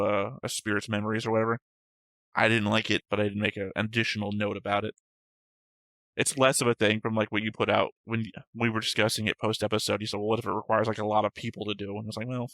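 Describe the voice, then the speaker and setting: A man with a somewhat low but bright, forceful voice talks through his episode notes in casual, rambling chat. He pokes fun at his own mistakes and explains his points at length. The sound is close and dry. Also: no room sound, rough recording. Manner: chatty, self-deprecating, long winding explanations. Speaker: reaction show co-host. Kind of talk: casual discussion of an anime episode.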